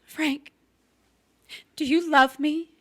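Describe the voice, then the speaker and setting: A woman's voice: high voice, fairly even intonation, very slow. A woman playing a scheming, passionate young wife in a crime drama, intense and pleading. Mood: coaxing, scheming. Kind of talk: live radio-play style crime drama acting.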